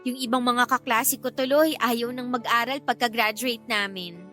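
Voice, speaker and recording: Casting: eager high-pitched teen girl, respectful and earnest, radio drama over light music. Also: fairly even intonation, normal speed, sharp tone. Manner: polite, earnest. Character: eager, polite, respectful teenage niece. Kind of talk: Tagalog radio drama acting.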